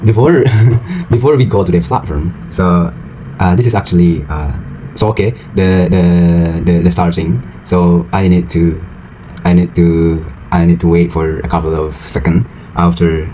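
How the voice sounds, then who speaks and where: A man with an Indonesian accent speaks in a very deep voice at a slow pace. His learner English comes haltingly as he chats casually, with a little noise behind him. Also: poor audio. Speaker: language-learning vlogger. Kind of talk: casual vlog and video-call chat. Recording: some steady background noise.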